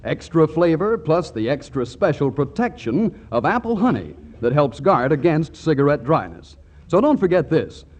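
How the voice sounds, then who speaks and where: A man's voice: on the high side, big pitch swings, normal speed, darkish tone, a little hiss or hum. A man reading a scripted 1940s radio advertisement as an announcer. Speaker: commercial announcer. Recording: dull old radio broadcast.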